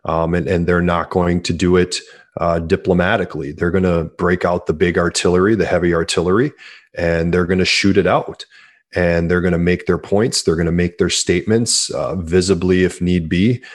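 A man's voice: sits low, fairly even intonation, normal speed. A man in his forties, an author answering interview questions earnestly and repeating words as he starts sentences. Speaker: author guest.

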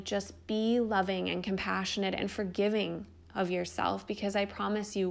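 A woman's voice: mid-range voice, creaky. A young woman hosting a podcast, talking earnestly and encouragingly about life purpose, casual with the odd swear word. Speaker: podcast host. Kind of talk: spiritual self-help monologue.

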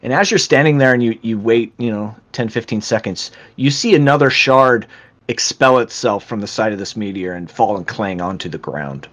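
A man's voice: lowish voice, lively intonation, moderate pace, fairly bright tone. A man narrating a tabletop game as game master, describing scenes with frequent fillers and restarts.

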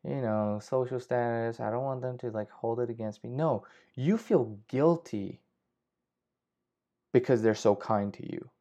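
A man's voice: mid-range voice, measured pace, mellow. A young man hosting a casual solo podcast, rambling with frequent swearing and restarts. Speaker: podcast host. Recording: slightly dull lo-fi audio.

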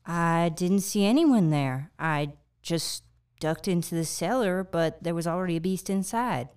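A woman's voice: lowish voice, unhurried, darkish tone, clear, resonant tone, natural voice. A woman playing a shaken but questioning young Wild West survivor in an audio drama. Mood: shaken, wary. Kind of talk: amateur audio drama acting and narration.

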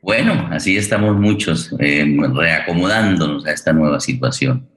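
A man with an extremely low voice hosts a radio programme. He talks in long, formal, courteous sentences, often pausing and restarting as he searches for the next phrase. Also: expressive, a bit bright. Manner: halting, wordy, formal and courteous. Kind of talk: unscripted, welcoming introduction of a guest and play.

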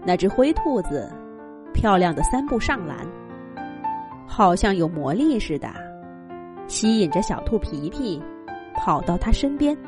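A woman telling a children's story with gentle, expressive narration. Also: medium pitch, unhurried, pauses often, over music.

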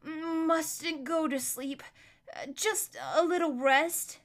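A pompous talking stallion from a storybook, grand and condescending, lordly in every word, as a woman puts him on. The voice sits a touch high and lilting. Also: animated, moderate pace, sharp tone. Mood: drowsy. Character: pompous proud male warhorse, talking stallion.

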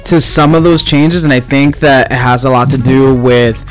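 A man of Cuban heritage in casual conversation, talking animatedly with quick asides and sudden jumps up in pitch when quoting others. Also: faint music behind. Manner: animated, fast asides, voice jumps up for quoted remarks. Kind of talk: casual chat.

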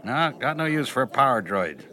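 An actor playing a brusque, grumbling middle-aged farmer uncle in a radio drama. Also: male voice, medium pitch, sing-song, a little fast, over music, natural voice. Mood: skeptical. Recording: radio drama with faint background noise.

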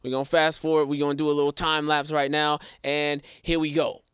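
A man co-hosting a podcast in casual chat, lively and speaking straight to viewers with slang. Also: a little high, brisk, clean tone, dry recording, clean studio sound. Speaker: podcast co-host.

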